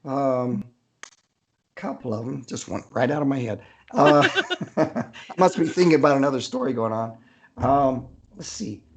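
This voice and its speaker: A middle-aged man speaks with a gravelly, fry-laden voice in a casual interview. He is an easygoing storyteller who often halts and searches for his words. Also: medium pitch, animated, moderate pace, background noise. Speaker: author being interviewed.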